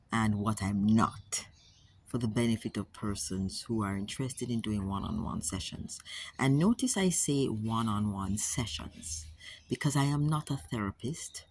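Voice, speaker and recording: A woman with a Jamaican accent, speaking to camera as a wellness coach in a measured, earnest way, often repeating words for emphasis. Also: rumbling low, husky. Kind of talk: casual talk to camera.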